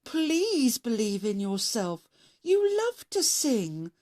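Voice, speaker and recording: Lady doing a sweet boy fox for a kids story, earnest n encouraging, high voice, takes it slow. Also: big pitch swings, a little mellow. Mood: kind, encouraging. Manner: earnest, emphatic praise. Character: gentle, admiring, encouraging young male fox.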